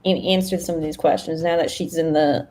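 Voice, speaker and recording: A woman's voice: sits low, fairly even intonation, brisk, darkish tone. A woman co-hosting a podcast, talking in a casual, conversational style. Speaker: podcast co-host.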